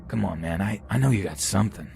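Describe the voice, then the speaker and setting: A man putting on a pushy homeless man in a spoken horror story, low and quiet, insistent without raising his voice. Soft music sits faintly behind. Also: expressive, quick pace, gravelly, exaggerated voice. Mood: wheedling.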